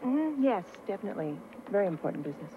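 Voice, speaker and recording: A woman's voice: medium pitch, sing-song, racing, narrow, tinny audio, natural voice. An actress playing a nervous woman traveler who chatters and bluffs in a flustered, fibbing manner. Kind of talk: 1980s TV movie romantic comedy. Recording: thin TV audio with faint hum.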